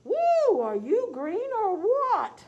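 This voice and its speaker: A woman voicing an opinionated female cow who drawls slowly and dreamily in a storybook reading. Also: very high-pitched, huge pitch swings, a little mellow, lo-fi sound, pitched above the performer's own voice, full character voice.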